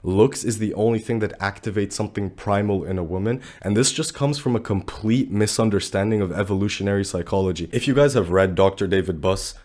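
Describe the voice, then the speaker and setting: Young male commentator, sick and low-pitched, blunt and slangy, talking fast to camera. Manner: blunt, animated, slangy, says bro a lot. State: sick, voice deeper than usual. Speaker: dating commentary youtuber.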